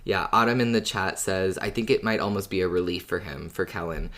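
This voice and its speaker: Deep-voiced woman in thoughtful podcast discussion. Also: brisk, fairly bright tone. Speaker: podcast co-host. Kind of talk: casual discussion of a fiction podcast.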